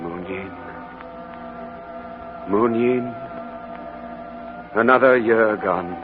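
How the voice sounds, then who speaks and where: An actor playing a grieving middle-aged gentleman, wistful and brooding, in 1940s radio acting style. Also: fairly low pitch, very slow, over music. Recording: tinny old radio broadcast with faint hiss.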